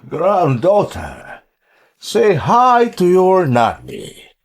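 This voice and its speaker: A man acting in a drama as an older, courteous grandfather and employer, speaking in a deliberate, measured way. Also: high-pitched, huge pitch swings, heavily acted. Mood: welcoming. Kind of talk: radio drama acting in English.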